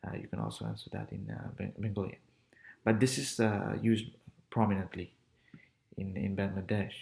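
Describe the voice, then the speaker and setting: A young man in his twenties teaching a casual language lesson, repeating phrases slowly with relaxed, chatty asides. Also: low voice, vocal fry. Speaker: language tutor.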